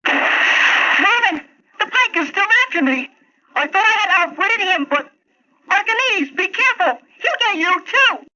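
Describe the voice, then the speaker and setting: A male performer playing an eager, polite, anxious young servant boy, earnest and expressive, in a 1960s children's story recording. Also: very high voice, a little slow, highs cut off, full character voice. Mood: alarmed. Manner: earnest, wide-eyed, expressive.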